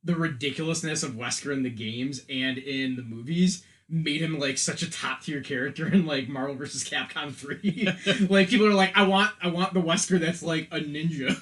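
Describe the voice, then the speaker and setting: A man's voice: fairly high pitch, steady tone, moderate pace, hardly any pauses, fairly bright tone. Man rambling analytically in casual discussion. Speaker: podcast panelist. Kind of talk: casual film and game discussion.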